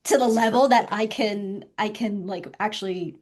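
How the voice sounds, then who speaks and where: A woman's voice: mid-range voice, moderate pace, a little mellow. Thoughtful woman, Vietnamese native speaker. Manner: thoughtful, hedging with fillers. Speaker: guest filmmaker. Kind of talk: casual interview talk.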